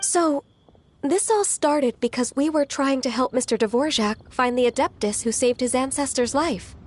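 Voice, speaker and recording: An actress playing a gentle, earnest young woman in a video game, polite and soft-mannered. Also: high-pitched, normal speed, a little hiss or hum, lightly acted.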